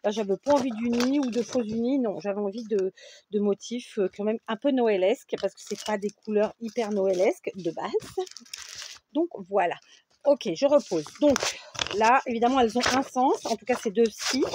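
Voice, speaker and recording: A chatty woman from France walks viewers through a craft project at home, her voice sitting a little high. She keeps checking in with her listeners as she goes. A faint hiss runs under the recording. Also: moderate pace, a little mellow. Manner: chatty, checks in often with "d'accord?". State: runny nose. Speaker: craft tutorial host. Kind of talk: casual scrapbooking tutorial. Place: home craft table with a fan running.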